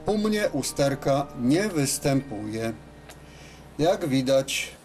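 Slow-paced man explaining. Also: medium pitch, very animated, a little mellow, faint music behind. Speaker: radio repair technician presenting his work. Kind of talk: unscripted workshop demonstration. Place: radio service workshop, indoors at a bench.

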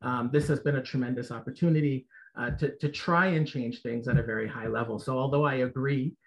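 A middle-aged man speaking through a headset on a video call, giving panel remarks with frequent ums and pauses. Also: mid-range voice, fairly even intonation, low-quality recording. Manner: halting, many ums, warm asides to students. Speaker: professor and university administrator. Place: home room, indoors.

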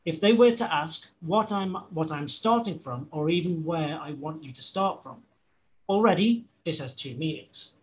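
Scouse man reading aloud haltingly. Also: fairly high pitch, animated, low-quality recording. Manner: halting reading with restarts and self-corrections. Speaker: study group member reading aloud. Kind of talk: reading a seminar text aloud. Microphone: video call.

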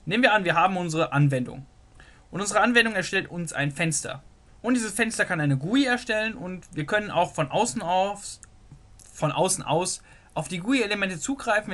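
A man's voice: high-pitched, fairly bright tone. A man teaching a programming lesson over a screen recording. He thinks aloud while he sketches, with many "so" fillers. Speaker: programming tutor.

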